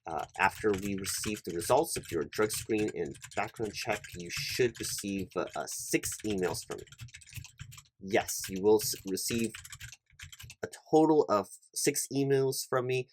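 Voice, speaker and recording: A man's voice: lowish voice, animated, a little slow, a little hiss or hum. A man playing an officious, polite recruiting manager in a one-sided ASMR roleplay, talking in a wordy, hesitant way and repeating himself. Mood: officious, explanatory. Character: officious, rambling male corporate recruiting manager, polite and awkward.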